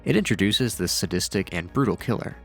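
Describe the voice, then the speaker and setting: A man's voice: lowish voice, expressive, fairly quick, creaky, a bit bright. A man narrating scripted commentary on horror with casual, dryly sardonic asides. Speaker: video narrator and reviewer. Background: faint music.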